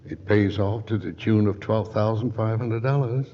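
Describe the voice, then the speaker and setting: An actor playing a scheming male lawyer who has turned murderer in a 1940s radio play, smooth and wheedling. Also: lowish voice, moderate pace, mellow, natural voice. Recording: muffled 1940s radio broadcast.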